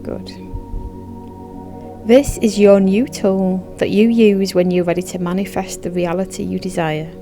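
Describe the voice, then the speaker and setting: A woman narrating a guided meditation in a soothing, measured, instructive manner. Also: medium pitch, flat delivery, dark tone. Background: background music.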